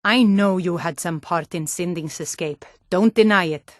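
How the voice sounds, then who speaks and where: A woman's voice: on the low side, moderate pace, dry recording, studio quality, lightly acted. A woman voice actor playing a tough, wary female Nord city guard in a fantasy video game, with blunt, colloquial delivery. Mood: grave. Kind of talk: scripted fantasy game dialogue in short standalone lines.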